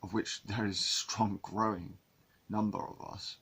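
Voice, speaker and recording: A man's voice: fairly low pitch, little pitch movement, measured pace, a bit bright, slightly rough. A man from England talking in a casual monologue to camera, rambling with many restarts and repeated words. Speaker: vlogger.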